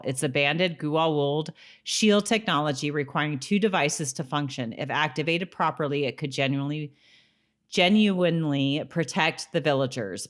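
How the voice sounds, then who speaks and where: A woman co-hosting a podcast, chatting casually as she recaps a TV episode. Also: deep voice. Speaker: podcast co-host.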